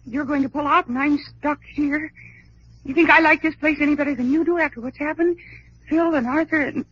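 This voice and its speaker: An actress as an eager young woman of old radio drama, with a high voice. She pleads and insists with open emotion, and the recording is dull and muffled. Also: fairly even intonation, fairly quick, natural voice. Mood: tense, earnest.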